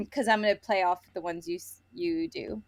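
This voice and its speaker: A woman's voice: medium pitch, very animated, normal speed, creaky, a little mellow. A woman co-hosting a book review podcast, chatting casually and gushingly and sometimes reading quotes aloud. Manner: informal, chatty, gushing, frequent "like".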